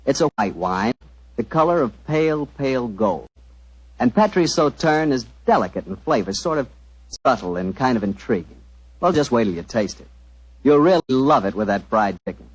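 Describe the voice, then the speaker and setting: Animated American 1940s radio announcer man. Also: medium pitch, husky, no echo. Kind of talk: 1940s radio announcing and folksy sponsor pitch. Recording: muffled noisy 1940s radio recording.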